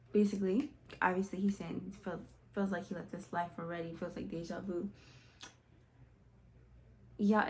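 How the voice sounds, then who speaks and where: A woman's voice: mid-range voice, creaky, warm tone. A woman hosting a reaction video, talking casually and informally to her viewers, often addressing them as y'all. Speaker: host of music reaction videos. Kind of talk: casual chatty commentary.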